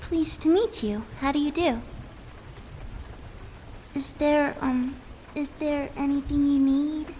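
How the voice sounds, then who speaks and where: An actress playing a guarded, precocious young girl in a video game, polite but coolly deadpan. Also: high voice, slow, long pauses, a little hiss or hum, poor audio. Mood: guarded politeness.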